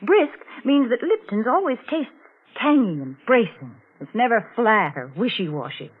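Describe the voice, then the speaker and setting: High, lively 1940s radio spokeswoman. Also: moderate pace, slightly rough. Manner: prim, scolding, emphatic salesmanship. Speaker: sponsor's spokeswoman. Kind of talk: 1940s radio advertising banter. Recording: tinny, band-limited 1940s radio recording.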